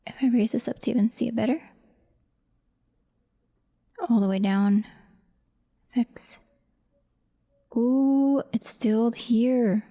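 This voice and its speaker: A woman's voice: fairly high pitch, fairly even intonation, takes it slowly, long pauses, mellow. A woman talking casually through an audio editing walkthrough as a voice actor, murmuring to herself in short fragments. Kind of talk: casual screen-recorded editing walkthrough, thinking aloud.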